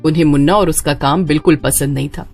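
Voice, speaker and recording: A woman's voice: low voice, steady tone, fast talker, darkish tone, soft background music. A woman narrating a scripted dramatic story as the storyteller.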